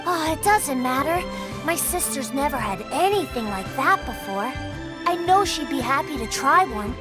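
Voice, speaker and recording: A woman's voice: high voice, animated, normal speed, loud music behind the voice. A woman voicing a shy, caring little boy in a video game, with a simple childlike way of talking. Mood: hopeful. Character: poor little boy, caring selfless big brother.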